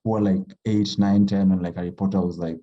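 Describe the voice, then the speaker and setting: A man from Kenya, a writer talking casually as a podcast guest in a halting, thoughtful way full of fillers. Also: sits low, flat delivery, warm tone, lo-fi sound. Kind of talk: casual conversation.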